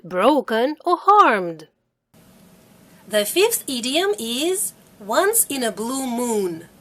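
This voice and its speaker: A middle-aged woman teaches English to learners. Her voice sits a little high and rises and falls a great deal. She speaks slowly and repeats each phrase clearly. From Russia. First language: Russian. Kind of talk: slow, careful video lesson.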